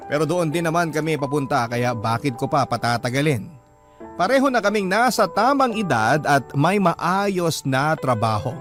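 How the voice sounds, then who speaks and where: Man acting sincere devoted husband, slightly high voice, Filipino accent, measured radio drama narration, clear music bed. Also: natural voice. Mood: earnest. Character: sincere, devoted husband telling his love story. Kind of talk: scripted first-person radio drama narration. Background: background music.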